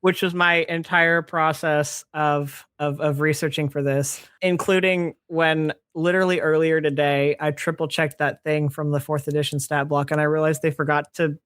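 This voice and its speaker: Late 20s podcast host nerding out on fantasy lore, kinda halting n chuckly, dry sound. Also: mid-range voice, little pitch movement, a bit bright, smooth, no room sound. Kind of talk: casual chat explaining fantasy lore.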